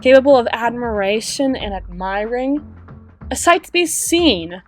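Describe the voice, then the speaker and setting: A woman playing a wistful, guarded female half-spider bouncer in an intimate roleplay monologue, musing with dramatic pauses and soft trailing phrases. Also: a little high, expressive, faint music behind. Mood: wistful, yearning. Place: close-miked recording with music playing behind.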